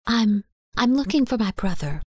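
A woman's voice: medium pitch, expressive, normal speed, darkish tone, no echo, studio quality. A woman voicing a petite, defiant and evasive young woman in an audiobook story. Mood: indignant, evasive. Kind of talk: audiobook character dialogue.

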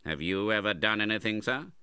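A man's voice: lowish voice, animated, brisk, bright, forceful tone, clear, resonant tone, no room sound, studio quality. A man voicing a stern, miserly old uncle in a storybook, contemptuous and impatient. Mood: stern, brusque. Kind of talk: dramatised classic novel reading.

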